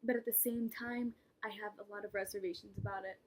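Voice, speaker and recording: Breathy young woman rambling in a vlog. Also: medium pitch, steady tone, fairly quick, rough recording. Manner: rambling, self-mocking, with laughs and whispered asides. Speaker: vlogger. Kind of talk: casual vlog monologue.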